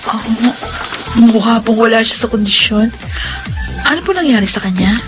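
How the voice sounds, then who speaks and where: A woman's voice: fairly high pitch, animated, normal speed, lightly acted. Actress as coy young woman, dull drama audio. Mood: polite, probing. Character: young woman friend, teasing, coy and inquisitive. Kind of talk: Filipino radio-style drama acting. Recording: dull lo-fi audio with background music.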